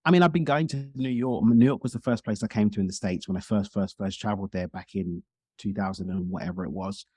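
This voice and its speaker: Fast-talking man with a low, dry voice. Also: warm tone, slightly rough, no echo. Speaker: podcast guest, speaker and coach. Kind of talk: casual interview conversation.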